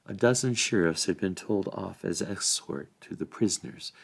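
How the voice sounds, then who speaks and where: A man reading a novel aloud as expressive bedtime storybook narration. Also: deep voice, normal speed, vocal fry. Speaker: volunteer story reader.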